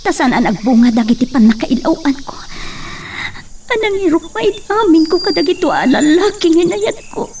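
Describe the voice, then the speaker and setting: High-pitched heartbroken young woman, played by an actress, bitter, emotional, drawn-out, distant mic, faint insects. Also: sing-song, a little mellow, slight background noise, heavily acted. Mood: anguished. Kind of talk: Ilocano romantic radio-style drama acting.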